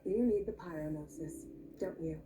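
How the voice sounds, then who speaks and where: An actress voicing a composed, confident female leader in a video game, speaking in a calm, direct and assured way. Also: lowish voice, moderate pace, a little hiss or hum, close to an everyday voice, low-quality recording. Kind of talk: scripted video game dialogue.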